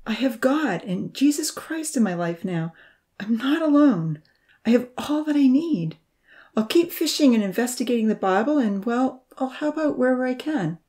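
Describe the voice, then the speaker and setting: A woman voicing a troubled, scarred young man in a story, speaking haltingly with a wavering voice. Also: a little high, lively intonation, a little mellow, voice pushed up, close to an everyday voice. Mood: insistent. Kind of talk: storybook character reading.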